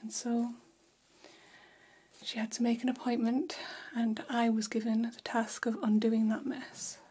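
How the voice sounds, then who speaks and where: Casting: gossipy vampire salon stylist woman acting, ASMR roleplay, very breathy whisper, slow and gentle. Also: a little high, flat delivery. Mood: gossipy, confiding. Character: gossipy female vampire hairstylist, attentive and chatty. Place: small decorated room, indoors.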